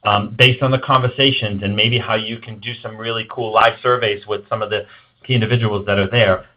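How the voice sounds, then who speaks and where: Man explaining business ideas, slightly muffled audio. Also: on the low side. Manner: explanatory, hedging with "kinda" and "you know". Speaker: marketing executive guest. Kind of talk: webinar interview answers, informal business advice. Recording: slightly dull, lo-fi webinar audio.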